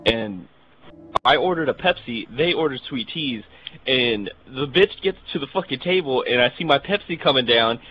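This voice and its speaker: A man's voice: mid-range voice, slight background noise, poor audio. A young man casually telling a funny story to friends, full of swearing, slang and quoted dialogue. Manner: profane, slangy, animated retelling with quoted dialogue. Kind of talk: casual comedic anecdote among friends.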